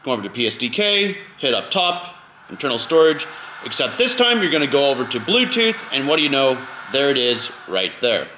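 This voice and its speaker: A man's voice: medium pitch, sing-song, a little fast, bright, forceful tone, reverberant, a little hiss or hum, low-quality recording. A man hosting a casual step-by-step tutorial in an informal, conversational way. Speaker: video host giving a drone tutorial.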